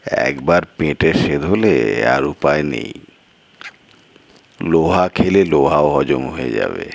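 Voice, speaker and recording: A man's voice: extremely low pitch, animated, slow pace, vocal fry, dry recording, voice pushed down, heavily acted. A man voicing a sly, evasive village local in a storytelling piece, with a drawling, folksy and boastful manner. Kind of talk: acted storytelling dialogue.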